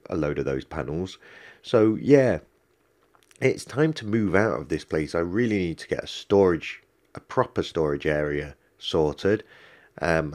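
A man's voice: low voice, darkish tone. A man with a cold and a stuffy nose, rambling through casual gameplay commentary with many ums and restarts. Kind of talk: casual let's-play commentary.

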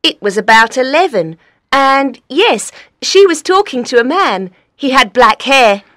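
A woman's voice: high voice, big pitch swings, unhurried, a bit bright, no echo, studio quality, heavily acted. A woman playing a small, friendly, helpful young waitress in an audiobook story. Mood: friendly, apologetic. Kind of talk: audiobook character dialogue.

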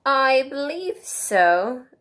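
A woman's voice: sits high, very animated, normal speed, a bit bright, voice pushed up, exaggerated voice. A woman voicing Alice as a polite, curious and slightly timid little girl in a storybook. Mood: hesitant. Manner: polite, wondering.

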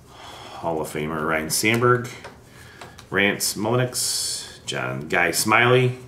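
Low-voiced American man in a roomy space. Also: fairly bright tone. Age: middle-aged. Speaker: vlogger opening vintage sports card packs. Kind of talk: casual running commentary, reading off names. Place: indoors at a wooden table in a room. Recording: roomy echo, background hiss, handling clicks.

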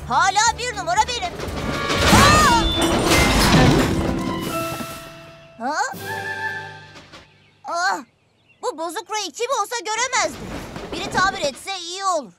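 A woman voicing an eager, proud young male steam engine in a children's cartoon dub, boyish and expressive. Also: very high-pitched, unhurried, pauses often. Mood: boastful, flustered. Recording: music behind the voice.

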